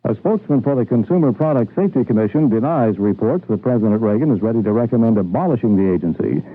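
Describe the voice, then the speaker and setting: Brisk American radio newsman, narrow-band sound. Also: medium pitch, lively intonation, noisy background. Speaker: radio news anchor. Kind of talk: scripted radio newscast. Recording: muffled narrow-band old radio broadcast.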